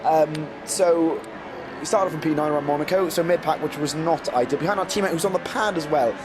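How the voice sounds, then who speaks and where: A man's voice: fairly high pitch, brisk, noisy background. A man losing his voice, casually and self-mockingly commentating his own racing game footage with many ums. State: losing his voice, getting ill. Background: background music.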